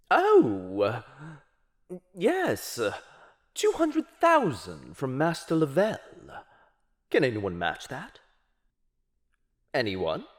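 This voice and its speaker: A man's voice: fairly high pitch, heavily acted. Man as a swooping, theatrical vampire auctioneer. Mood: flustered, playful. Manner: showy, courteous, swooping salesman's patter. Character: suave theatrical male vampire auctioneer, playful showman. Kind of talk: audio roleplay acting.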